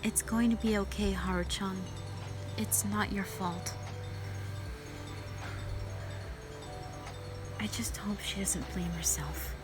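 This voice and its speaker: A woman voicing a gentle, protective young woman in an audio roleplay drama, speaking in an earnest, consoling way. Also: medium pitch, a little slow, music in the background, lightly acted. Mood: grieving, troubled. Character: gentle, protective young woman comforting her hurting friend.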